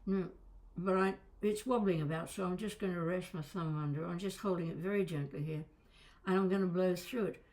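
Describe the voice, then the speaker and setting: A woman's voice: lowish voice, fairly quick, a little mellow. A woman coaching vocal exercises in a conversational, instructional way, stressing and stretching key words. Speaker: voice and accent coach.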